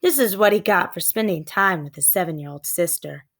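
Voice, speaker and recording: A woman's voice: on the low side, animated, a little fast, gravelly, a bit bright. A woman reading scripted narration from a children's storybook. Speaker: storybook reader.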